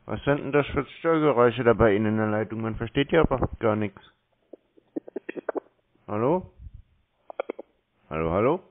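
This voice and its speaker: A man bantering casually on a phone call in a deadpan, cheeky manner. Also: medium pitch, normal speed, long pauses. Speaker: prankster answering scam calls. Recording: dull lo-fi phone-call recording.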